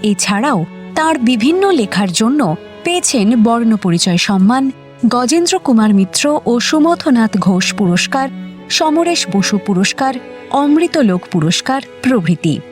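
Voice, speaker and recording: প্রাণবন্ত, উঁচু গলার মহিলা উপস্থাপিকা. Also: female voice, on the high side, very animated, a little slow. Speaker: radio story presenter. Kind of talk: scripted radio introduction. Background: background music.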